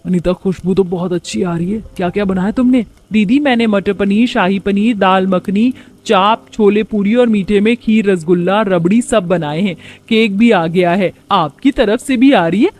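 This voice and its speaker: A woman voicing an eager, competitive young daughter-in-law in animated story dialogue. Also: medium pitch, moderate pace, hardly any pauses, close to an everyday voice.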